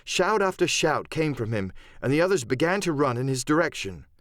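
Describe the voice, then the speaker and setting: A man's voice: fairly high pitch, very animated, a little fast, a bit bright, no room sound, clean studio sound. A man reading literary adventure narration as an audiobook narrator, in a dramatic storytelling style.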